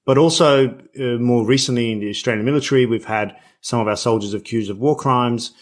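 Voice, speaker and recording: A man from Bosnia hosting an interview podcast, talking conversationally and repeating words as he forms his thoughts. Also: medium pitch. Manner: halting, repeats words while forming thoughts. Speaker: podcast host.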